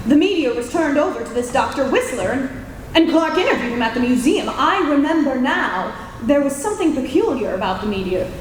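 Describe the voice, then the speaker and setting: A woman playing an inquisitive, sharp-tongued newspaper reporter in an old-time radio drama, insistent and theatrical. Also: sits high, sing-song, brisk. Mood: excited discovery. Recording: echoey hall, roomy, faint noise.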